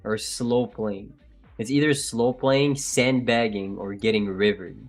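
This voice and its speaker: A man from Algeria whose first language is French, co-hosting a live stream in relaxed, simple wording. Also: fairly low pitch, a little slow. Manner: relaxed, plain wording, restarts. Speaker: trading stream co-host. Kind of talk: casual live-stream chat. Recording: faint background music.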